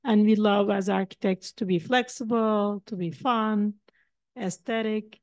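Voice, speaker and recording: A woman architect in the audience asks a long, winding question at a talk. Her voice sits at a middle pitch, and the sound is dry. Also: steady tone, unhurried, darkish tone, no echo. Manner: rambling, long-winded question.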